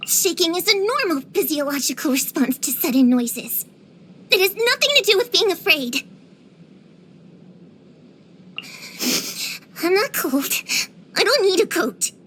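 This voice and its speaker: A woman's voice: very high voice, sing-song, normal speed, fairly bright tone, slight background noise, exaggerated voice. A woman voicing an earnest, bookish young girl witch hunter in a video game, precocious, proud and slightly dramatic. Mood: defensive. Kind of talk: scripted game voice lines.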